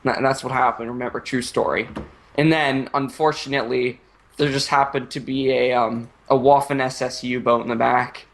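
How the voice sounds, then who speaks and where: A man improvising a joke story in a halting, stammering way while he draws, swearing often. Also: mid-range voice, little pitch movement. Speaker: storyteller, host.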